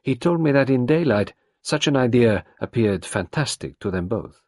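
A man's voice: mid-range voice, animated, normal speed, darkish tone, no room sound, clean studio sound, voice pushed up, lightly acted. Sarah, a frightened young woman, as a man puts her on. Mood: desperate.

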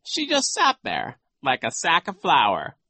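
A woman voicing a sly, villainous male gym coach in a storybook, smugly cruel, telling things in a drawn-out theatrical way with false regret. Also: deep voice, wildly swooping pitch, bright, forceful tone, slightly rough, no room sound, voice pushed up, exaggerated voice. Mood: gleefully cruel.

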